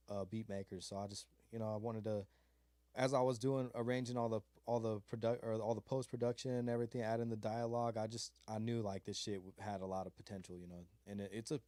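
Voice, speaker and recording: Laid-back low-voiced man, rambling. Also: mellow, dry recording. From Mojave desert, California. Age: adult with a child. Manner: laid-back, rambling, full of fillers and slang. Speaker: guest beat maker. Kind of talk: casual interview chat.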